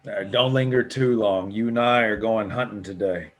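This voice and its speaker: An actor playing a friendly, restless lookout and hunting partner in a table read, with an offhand, casual delivery. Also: male voice, lowish voice, fairly even intonation, moderate pace, close to an everyday voice. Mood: companionable.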